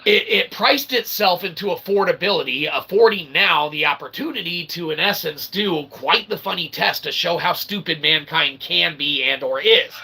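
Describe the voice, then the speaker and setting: A man with a fairly high, gravelly voice talks straight to his audience in a rambling, opinionated monologue. He is wordy and emphatic, with a forceful edge and a habit of checking in as he goes. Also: normal speed, hardly any pauses, a little hiss or hum. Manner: verbose pseudo-philosophical phrasing, sweary, repeatedly checking "okay?"; emphatic, bursts into shouting. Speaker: self-published author and channel host.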